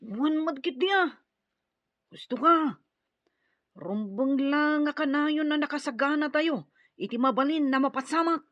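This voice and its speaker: A woman's voice: high voice, measured pace, long pauses, fairly bright tone, dry recording, muffled recording. A woman playing a loyal young tribeswoman warrior in an Ilocano-accented radio drama, speaking earnestly and dramatically. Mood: concerned. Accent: Filipino, Ilocano.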